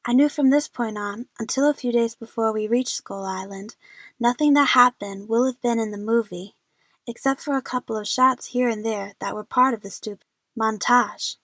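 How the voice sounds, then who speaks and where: A woman's voice: a little high, normal speed, fairly bright tone, no echo. A woman narrating a first-person story as the lead of an audio drama.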